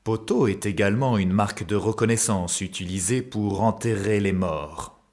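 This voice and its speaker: A man narrating an animated show for children in a mock-formal storytelling manner. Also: fairly low pitch, moderate pace. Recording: roomy echo, music behind. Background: music.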